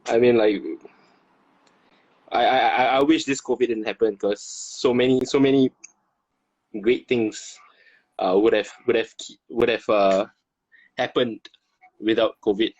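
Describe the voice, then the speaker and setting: A man from Singapore with a Singaporean English accent, chatting as a guest, halting and repeating words. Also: medium pitch, steady tone, darkish tone, no room sound, rough recording. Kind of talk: casual chat.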